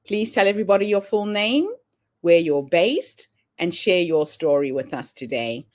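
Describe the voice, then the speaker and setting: A woman's voice: on the low side, expressive, moderate pace, darkish tone. A woman hosting a podcast, reading a welcoming intro and greeting her guest. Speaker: podcast host.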